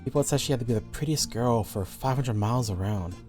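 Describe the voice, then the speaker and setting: A man's voice: mid-range voice, fast, darkish tone, soft background music. A man reading scripted horror stories as the narrator.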